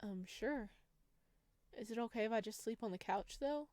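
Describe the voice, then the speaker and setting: A woman voicing a shy, flustered young male neighbour in a visual novel, hesitant, halting and soft-spoken. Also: a little high, no room sound, studio quality, natural voice.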